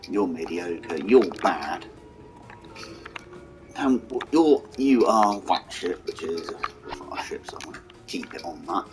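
A man with a hoarse, croaky voice, mumbling casual commentary over a strategy game and talking to its vehicles. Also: low-pitched, expressive, slow. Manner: mumbling, talks to the game's vehicles. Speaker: gaming channel host. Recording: game music behind voice.

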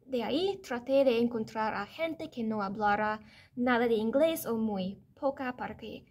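American woman chatting to camera. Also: on the high side. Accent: American English. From United States. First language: English. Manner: chatty, self-correcting, animated hand gestures. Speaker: vlogger. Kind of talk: casual vlog to camera. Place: office or classroom, indoors, medium room.

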